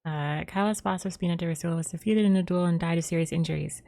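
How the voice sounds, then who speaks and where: A woman's voice: on the low side, quick pace, gravelly, mellow. A woman game streamer casually reading game text aloud, stumbling over names as she goes.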